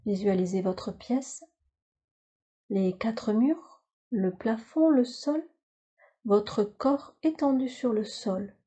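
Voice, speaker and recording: A woman teaching yoga nidra, leading a guided relaxation in a calm, soothing, instructional way with measured phrases. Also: a little high, breathy edge.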